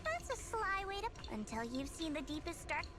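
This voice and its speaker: Sly cynical anime game girl, high swingy voice, music behind. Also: very animated, normal speed. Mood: bitter. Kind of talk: scripted anime-style game dialogue.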